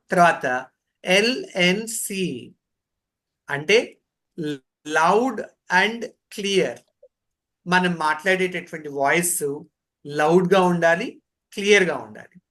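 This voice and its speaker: A man with a Telugu accent giving an online training lecture as an instructor, speaking deliberately and mixing in English terms. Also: fairly high pitch, pushed and bright.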